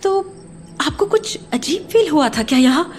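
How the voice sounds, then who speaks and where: A woman's voice: high voice, very animated, moderate pace, slight background noise. A woman playing an uneasy, questioning young mother in an audio horror drama, speaking hesitantly. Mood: probing, uneasy.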